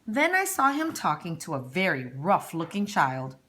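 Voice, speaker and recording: A snobbish storybook mother acted out by a woman reader. She is middle-aged, haughty and used to giving orders. Her voice sits slightly low as she tells her tale with disdain. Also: huge pitch swings, moderate pace, pushed and bright, slight background noise, full character voice. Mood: haughty, disdainful. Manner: haughty, commanding. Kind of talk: storybook read-aloud.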